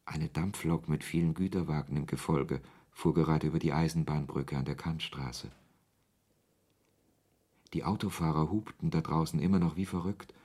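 An actor playing a wry author who narrates a radio play in the first person, in a husky voice. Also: male voice, low-pitched, a little mellow. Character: male author narrating his absurd encounter, wry and irritated.

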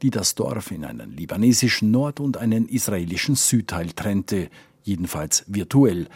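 A male reporter narrating a scripted news feature in a measured, matter-of-fact way. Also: fairly low pitch, big pitch swings, darkish tone, breathy edge, no room sound, studio quality.

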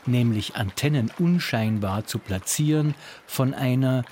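A man's voice: mid-range voice, measured pace, a little mellow, slight background noise. A man reading a scripted radio feature as an arts reporter.

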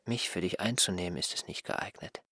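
An actor in a literary radio drama playing an insolent former servant, subdued and coolly dismissive. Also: male voice, low-pitched, brisk, sharp tone, husky, no room sound, studio quality, exaggerated voice. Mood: indifferent, resentful. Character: insolent, indifferent male former servant, newly independent. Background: prominent music.

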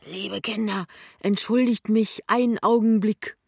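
Woman doing a loud, jovial tipsy man. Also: medium pitch, fairly even intonation, measured pace, creaky, breathy edge, dry recording, clean studio sound, pitched above the performer's own voice. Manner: slurred-tipsy, jovial, expansive. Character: boisterous, tipsy, easygoing man, a generous charmer.

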